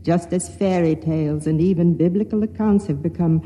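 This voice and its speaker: Guy as a grave secret chronicler, high dark voice, big reverb, radio drama narration. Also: lightly acted. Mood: solemn, foreboding. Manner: measured, solemn. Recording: long reverb.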